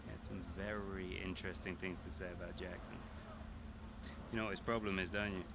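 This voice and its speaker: Game casting: scheming rival driver, low register, chummy but needling, naturalistic, noisy outdoor backdrop. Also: male voice, level tone, a little fast. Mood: confiding, sly. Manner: matey, insinuating. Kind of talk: naturalistic video game cutscene acting. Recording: clear outdoor ambience and vehicle noise.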